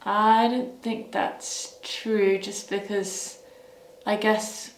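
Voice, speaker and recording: A young woman from Wales answering interview questions in a hesitant, thoughtful way, often pausing to search for words. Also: mid-range voice, little pitch movement, reverberant, a little hiss or hum, poor audio.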